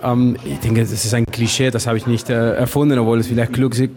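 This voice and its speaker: Mellow man, halting and reflective. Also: medium pitch, flat delivery. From Argentina. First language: Spanish. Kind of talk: reflective interview talk.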